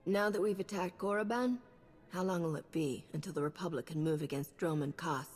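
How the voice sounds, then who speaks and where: An actress playing a cool, confident sci-fi hero in a video game, with a dry, self-assured delivery. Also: female voice, on the low side, fairly quick, darkish tone, slightly rough, big-room sound, soft background music. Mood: serious. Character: cool, confident female space hero, dryly wry. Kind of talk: video game cutscene acting.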